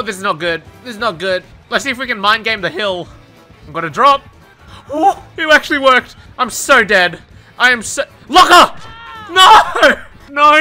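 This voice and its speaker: A young man with a high, very bright voice reacts to his own gameplay from a desk mic at home. He swears freely, shouts at the game and laughs, and sounds a little worn out. Game music plays faintly underneath. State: tired, says his voice is going. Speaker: gaming YouTuber. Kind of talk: live gameplay commentary.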